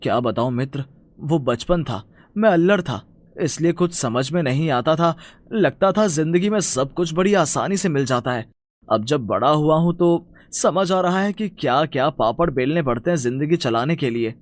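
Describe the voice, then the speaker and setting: A man's voice: a little high, expressive, normal speed, lightly acted. A man playing an earnest, dutiful young village son in a cartoon fairy tale, speaking emotively. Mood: troubled.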